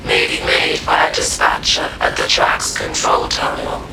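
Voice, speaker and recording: Gravelly deep machine announcer. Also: male voice, completely flat delivery, moderate pace. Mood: flat. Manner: clipped bureaucratic announcements. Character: rumbling low machine announcer, mechanical and flat. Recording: echoey and distant, under loud game music.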